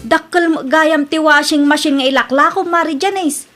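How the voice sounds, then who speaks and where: A woman's voice: sits high, fairly even intonation, normal speed, fairly bright tone, faint music behind. A woman playing an eager, persuasive housewife in a radio comedy drama, with a coaxing, conversational manner.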